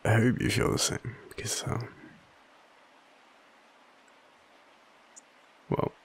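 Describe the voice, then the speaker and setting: An Australian man playing an overwhelmed, devoted, self-deprecating partner in an intimate audio roleplay, speaking haltingly with sighs. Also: close to an everyday voice. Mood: apologetic, hesitant. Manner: halting, hesitant, sighing.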